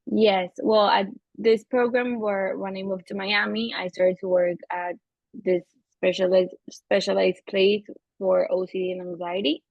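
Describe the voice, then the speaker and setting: A young woman with a Venezuelan accent, a native Spanish speaker, talking thoughtfully and a little haltingly as a therapist guest in a casual interview. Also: medium pitch, flat delivery, gravelly, no echo. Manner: thoughtful, halting, searching for words. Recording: slightly dull lo-fi audio.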